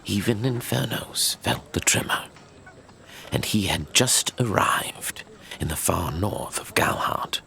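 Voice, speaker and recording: An actor playing a grave storyteller of ancient legends, narrating a fantasy audio drama in a solemn, portentous manner. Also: male voice, lowish voice, lively intonation, slow, creaky, pushed and bright, a little hiss or hum, heavily acted.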